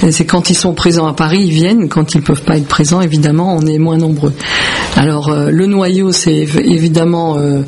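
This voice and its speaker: A woman from Belgium with a creaky voice, answering as a musician in a radio interview in halting, repetitive phrases. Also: deep voice, fairly even intonation, a little mellow, a little hiss or hum. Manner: hesitant, halting phrasing with repeated words. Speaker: musician, founding member of a band.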